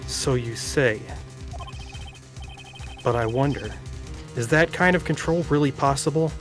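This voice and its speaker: A man's voice: mid-range voice, a little slow, faint music behind, lightly acted. A man voicing an earnest young defense lawyer in a video game, polite, plain and a little hesitant. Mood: doubtful. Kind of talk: video game dialogue read aloud by amateur.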